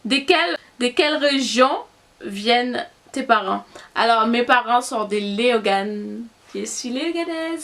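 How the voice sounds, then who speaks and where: A young Haitian woman with a Haitian Creole accent talks to her camera in an easygoing vlog. She speaks at an unhurried pace in a fairly high voice. She thinks aloud as she answers and is easily amused. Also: fairly bright tone. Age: young adult. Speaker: YouTuber. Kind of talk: casual vlog, answering tag questions.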